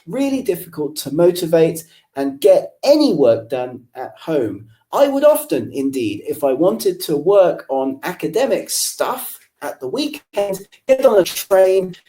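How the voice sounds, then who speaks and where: A man from England whose English accent carries a faint Irish lilt, talking through a webinar from his home office. His voice is mellow and rather dark, and he addresses listeners directly in a friendly, explanatory manner. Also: sits high, very animated, measured pace. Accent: English with a slight Irish lilt. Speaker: webinar presenter. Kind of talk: live webinar presentation.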